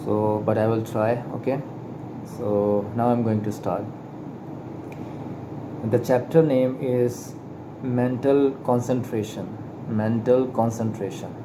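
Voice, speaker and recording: A man whose first language is not English reads aloud from a spiritual book at a slow, deliberate pace. He stumbles and repeats words as he works through the text. A clear air-conditioner hum runs behind his voice. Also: medium pitch, fairly even intonation. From Pakistan. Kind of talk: slow careful reading aloud.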